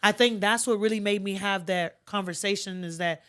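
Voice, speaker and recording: Clear, strong-voiced middle-aged woman, plain-spoken. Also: mid-range voice, moderate pace, a bit bright. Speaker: podcast guest, army first sergeant. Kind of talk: conversational interview.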